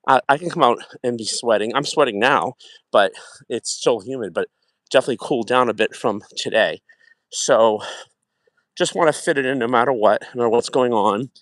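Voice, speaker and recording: A man's voice: medium pitch, no echo. A middle-aged man hosting a casual livestream, talking while he walks a track outdoors at night and greeting viewers. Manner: chatty, reflective, greets viewers warmly by name. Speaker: wellness livestream host.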